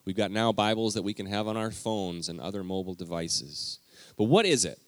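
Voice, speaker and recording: A man with a low voice gives a teaching sermon in a church, speaking straight to the people in the pews. His delivery is lively and emphatic, and the sound is close and dry. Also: fairly quick, no room sound. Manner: lively, emphatic, talks directly to the congregation. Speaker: pastor. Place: church sanctuary.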